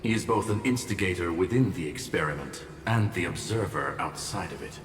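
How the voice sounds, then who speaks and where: A composed game scholar voiced by a man with a very low voice, reasoning in formal, measured phrases. He sounds distant in a long reverb with music behind. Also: background noise, natural voice. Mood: calm, analytical. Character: composed, formal male scholar-strategist, analytical. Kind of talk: video game story acting.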